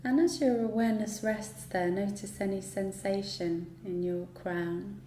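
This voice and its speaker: A woman guiding a relaxation meditation in a soothing, unhurried manner, leaving long pauses between instructions. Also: mid-range voice, dark tone. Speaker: yoga nidra guide. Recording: roomy echo, light background noise.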